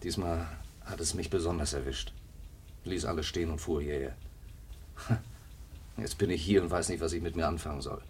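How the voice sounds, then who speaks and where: A man's voice: sits low, steady tone, normal speed, pauses often, a little mellow, slight background noise, close to an everyday voice. An actor playing a restless, self-doubting drifter in his thirties in a 1950s German radio play, brooding, given to bursts of fervour. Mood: brooding, despairing. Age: 30s.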